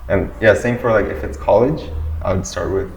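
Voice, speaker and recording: A man's voice: on the low side, normal speed, gravelly. A young man raised in Japan whose first language is Bengali, chatting casually in a laid-back way full of fillers. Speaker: discussion participant. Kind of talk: casual discussion. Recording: roomy echo, faint background hum.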